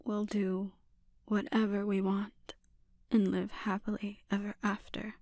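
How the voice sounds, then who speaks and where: A woman playing a menacing, seductive sorceress and obsessive mother in a breathy, often whispered ASMR roleplay, speaking deliberately and with quiet threat. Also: mid-range voice, level tone, no echo, studio quality, natural voice. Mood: yearning, obsessive.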